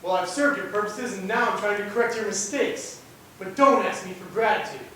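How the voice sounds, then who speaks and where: A man's voice: brisk, bright, forceful tone. Young man playing an anguished genius, echoey hall. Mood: angry. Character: anguished, bitter man made a genius by experiment. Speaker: student actor. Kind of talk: amateur stage play acting. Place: indoor theatre run-through without audience. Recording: echoey hall, distant mic, rumbling noise.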